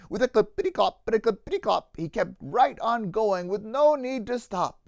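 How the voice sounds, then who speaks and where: A man with a high voice reads aloud for children as a pastor would at storytime. His delivery is theatrical and rhythmic, with very lively intonation. The sound is dry and free of room echo. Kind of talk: expressive children's storybook reading.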